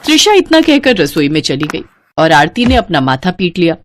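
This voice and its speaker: A woman narrating a scripted cartoon family story in an expressive storytelling style. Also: on the low side, moderate pace, slight background noise.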